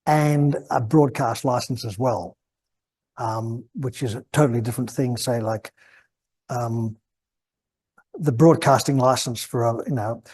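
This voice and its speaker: An Australian man, probably over fifty, giving an informal technical talk at a club meeting, halting and rambling as he repeats words mid-thought. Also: mid-range voice, darkish tone, breathy edge. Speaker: guest speaker at an amateur radio club meeting.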